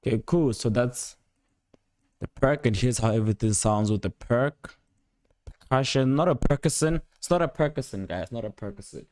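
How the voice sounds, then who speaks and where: A young man giving a casual, unscripted music production tutorial, rambling playfully in slangy speech with excited interjections. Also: medium pitch, moderate pace, a little hiss or hum. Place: indoor home studio at a computer. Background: loud instrumental beat and instrument sounds playing.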